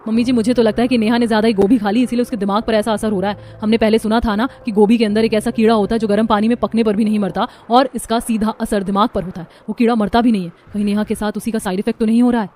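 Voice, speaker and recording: A woman's voice: on the high side, steady tone, quick pace, few pauses, dark tone, slight background noise, natural voice. A woman with an Indian accent voicing an anxious, fed-up young daughter-in-law in a family cartoon, earnest and complaining. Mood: earnest, concerned. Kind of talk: animated moral-story voice acting.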